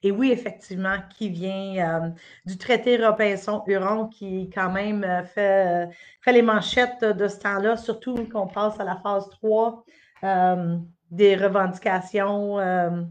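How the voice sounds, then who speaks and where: A middle-aged woman presents on a live stream, speaking off the cuff. She pauses often and restarts her sentences, and her voice sits at a medium pitch. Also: steady tone. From Northern Ontario, Canada. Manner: hesitant, many "euh" fillers and restarts. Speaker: education officer giving a presentation. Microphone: webcam or computer mic on a live stream.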